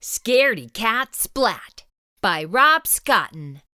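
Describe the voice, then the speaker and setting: A woman with a high, bright voice reads a children's story aloud. Her storytelling is dramatic and hugely animated, paced slowly for young listeners. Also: no room sound, clean studio sound. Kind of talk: expressive children's book read-aloud.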